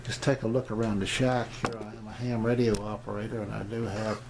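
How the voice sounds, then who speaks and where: A man's voice: lowish voice, level tone, brisk, vocal fry. A man hosting a casual amateur radio demonstration, talking in a hesitant, meandering, plain-spoken way on a noisy home video. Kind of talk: rambling casual demonstration to camera. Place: indoor home radio shack.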